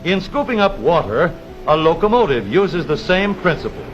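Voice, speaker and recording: A man narrating a scripted 1930s educational film in a measured, formal announcer's delivery with precise, explanatory phrasing. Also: fairly high pitch, big pitch swings. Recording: dull vintage 1930s film soundtrack, music behind.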